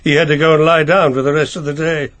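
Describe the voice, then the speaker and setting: An actor playing a discreet older family solicitor in a radio drama, courteous, dry and a little hesitant, with an English accent. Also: male voice, fairly high pitch, level tone, brisk, a bit bright, natural voice. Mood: confiding.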